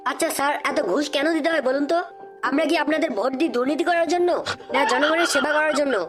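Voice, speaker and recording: A woman's voice: high voice, fast talker, lightly acted. A woman voicing a cheeky, indignant young male job seeker in a comedy cartoon, with a sarcastic, challenging manner. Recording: music behind the voice.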